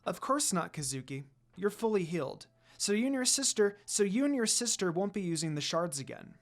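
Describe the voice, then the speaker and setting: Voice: medium pitch, very animated, brisk. A reader voicing a kind young woman healer in a fan fiction story, earnest and reassuring in manner.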